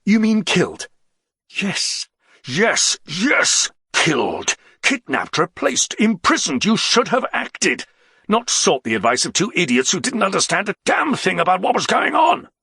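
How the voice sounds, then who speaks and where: A stern senior nobleman from an audiobook drama as a male narrator plays him, curt and commanding. His speech is clipped and emphatic, with a husky, gravelly edge and animated pitch. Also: measured pace, no echo, studio quality, exaggerated voice. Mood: sharp accusation.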